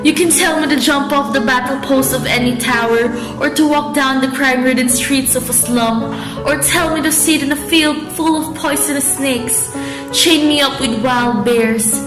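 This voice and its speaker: A woman playing a desperate, defiant young noblewoman in an amateur radio drama of Shakespeare in modern English. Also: high voice. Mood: fervent, defiant. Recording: roomy echo, loud music behind. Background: music.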